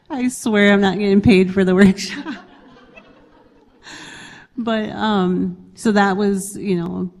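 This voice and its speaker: A woman's voice: mid-range voice, fairly even intonation, takes it slowly, pauses often, darkish tone. Woman speaking on a conference panel. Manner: conversational, hesitant with fillers. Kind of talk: panel discussion. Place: conference hall. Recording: reverberant conference hall.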